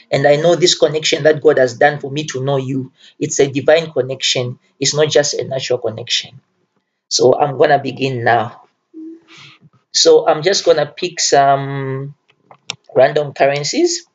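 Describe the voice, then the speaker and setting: A man with a Zambian accent giving an informal video tutorial, speaking earnestly and repeating phrases for emphasis. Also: medium pitch. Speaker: self-taught forex trader presenting a tutorial. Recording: dull, lo-fi home recording.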